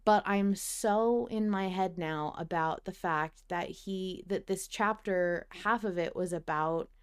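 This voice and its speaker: A woman hosting a casual fan podcast discussion, talking in an earnest, expressive way. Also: fairly low pitch, takes it slowly, clean tone. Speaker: podcast host.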